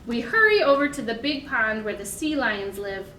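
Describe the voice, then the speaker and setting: A high-pitched American woman speaks in an echoey room with faint background noise. She leads toddler storytime, addressing small children in simple, encouraging phrases and questions. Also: sing-song, normal speed, bright, forceful tone, recorded from a distance. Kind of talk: picture-book reading and sing-along for toddlers.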